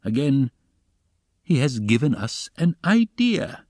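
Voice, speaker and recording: A man's voice: medium pitch, sing-song, takes it slowly, darkish tone, no room sound, clean studio sound, voice pushed up. A man voicing a wily middle-aged investigator in an audiobook, fussily solicitous and slyly mocking, with theatrical, coaxing exclamations.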